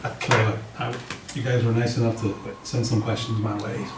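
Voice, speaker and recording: A man with a slightly raspy voice giving an informal, plain-spoken briefing to a committee as a public works director. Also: medium pitch, little pitch movement, moderate pace. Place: indoor meeting room. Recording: roomy, distant mics with clear background noise.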